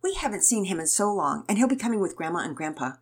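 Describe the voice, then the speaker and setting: A woman's voice: fairly low pitch, very animated, quick pace, husky, full character voice. A woman voicing an easygoing, affectionate father who explains things kindly in a children's story. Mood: cheerful, explaining. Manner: kindly, explaining, gently chiding.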